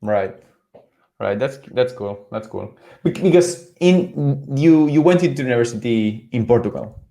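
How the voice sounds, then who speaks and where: A man's voice: mid-range voice, expressive, unhurried, gravelly, mellow. Casual man host, filler-heavy questions. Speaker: podcast host. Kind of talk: casual interview chat.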